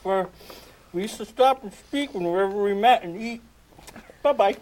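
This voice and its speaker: An actor playing a joking townsman in an amateur comedy radio play, ad-libbing in a slow, goofy way. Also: high voice, noisy background, natural voice. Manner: slow, laughing, goofy ad-libs.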